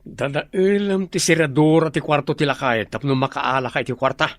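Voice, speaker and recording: A man's voice: on the high side, brisk, fairly bright tone, dry recording. An actor playing a boastful, greedy young man in an Ilocano radio drama, with an Ilocano accent and a smug delivery.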